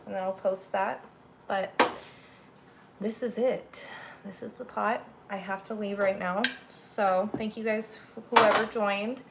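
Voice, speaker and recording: Slow, raspy woman chatting while cooking. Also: mid-range voice. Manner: chatty, rambling, narrates each step while cooking. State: a little sick. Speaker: cooking livestream host. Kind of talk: casual cooking livestream chat. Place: home kitchen. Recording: noisy home kitchen recording.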